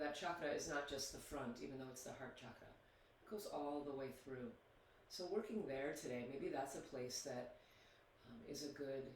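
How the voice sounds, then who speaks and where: A man leading a guided yoga meditation, speaking slowly and soothingly with long pauses. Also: mid-range voice, a little hiss or hum. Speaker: yoga teacher. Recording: very echoey, distant mic.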